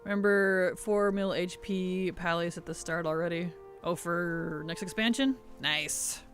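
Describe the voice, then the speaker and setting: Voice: mid-range voice, fairly even intonation, measured pace, a bit bright, faint music behind. Chatty streamer with a cough drop. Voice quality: sensitive, slightly rough throat. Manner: chatty, answers chat while playing. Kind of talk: casual gameplay chat.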